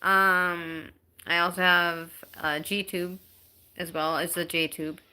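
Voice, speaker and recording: A woman in her thirties chatting casually on a live stream into her phone at home, reading out viewer comments and talking in a halting way, with many ums and restarts. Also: on the low side, level tone, bright, forceful tone, smooth. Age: 30s.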